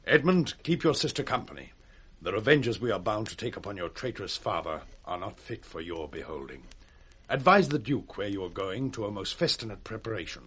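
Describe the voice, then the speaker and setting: A vengeful British duke with a hard, bright, rough-edged voice. He swings widely in pitch as he hands down imperious orders in classical radio-drama style. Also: lively intonation, brisk. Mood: cold, vengeful. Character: cruel, commanding, vengeful duke. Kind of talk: 1960s Shakespearean radio acting.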